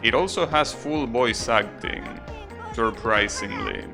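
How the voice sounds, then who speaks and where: A man's voice: medium pitch, takes it slowly, vocal fry. A man giving casual, rambling live commentary as a game streamer, chatting and explaining as they go. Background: video game music.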